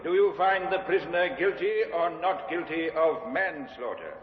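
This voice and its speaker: An actor playing a court clerk, intoning the formal questions solemnly. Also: male voice, on the high side, lively intonation, moderate pace, slight background noise, lightly acted. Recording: tinny, echoey old radio recording.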